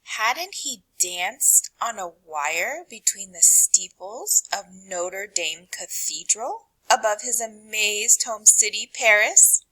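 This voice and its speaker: A woman reading a picture book aloud to children, with dramatic, deliberate phrasing that drops to a hush and rises for emphasis. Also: mid-range voice, expressive, husky, poor audio. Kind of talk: expressive read-aloud narration for children.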